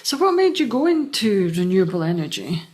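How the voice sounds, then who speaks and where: A woman's voice: medium pitch, very animated, a little fast, a little mellow. A woman hosting a podcast interview, asking short, friendly questions. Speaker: podcast host.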